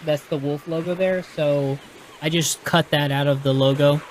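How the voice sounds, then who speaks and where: A man's voice: a little high, steady tone, normal speed, a little mellow, slight background noise, poor audio. A man with a Texan accent, reading a gamebook aloud and casually chatting through its rules with a live audience, often restarting his sentences. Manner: casual and explanatory, with frequent restarts and self-corrections.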